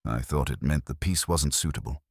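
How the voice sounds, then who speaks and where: A frail, anxious woman witness in a mystery audiobook, played by a man in a low voice. She is earnest, subdued and hesitant, and the sound is dry. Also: normal speed, no echo. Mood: shaken, anxious.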